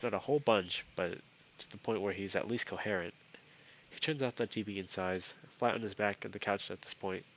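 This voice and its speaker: A man's voice: fairly low pitch, fairly quick, slight background noise. A man reading a visual novel aloud as a let's player, narrating the scenes. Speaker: video game let's player. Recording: dull lo-fi audio under loud guitar music. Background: loud plucked guitar music.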